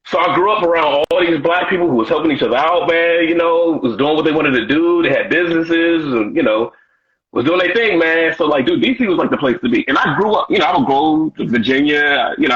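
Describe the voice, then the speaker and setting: A bright, husky-edged male voice tells loose, slangy stories full of "you know" and "man". He is a stand-up comedian from Washington, D.C., in his thirties, talking as a guest. The audio is dull and lo-fi. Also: fairly high pitch, fast. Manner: laid-back slangy storytelling with frequent "you know". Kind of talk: casual interview chat.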